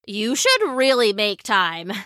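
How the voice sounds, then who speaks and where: A woman's voice: mid-range voice, big pitch swings, slow, bright, forceful tone, no echo, clean studio sound, exaggerated voice. A woman voicing a smug, fussy know-it-all boy who hesitates and then corrects people, in a storybook narration.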